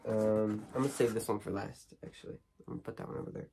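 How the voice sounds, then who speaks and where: Hoarse teen guy rambling with ums. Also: lowish voice, fast, warm tone, a little hiss or hum. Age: teens. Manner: rambling, lots of ums and restarts. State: hoarse from a cold. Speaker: horror movie vlogger. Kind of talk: casual unboxing chat. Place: small bedroom studio with shelves.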